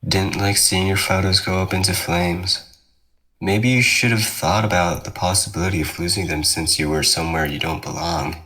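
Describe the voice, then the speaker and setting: Man playing a deep, teasing young ghost twin. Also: steady tone, sharp tone. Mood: taunting. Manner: taunting, measured, darkly coaxing. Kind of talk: ASMR roleplay drama.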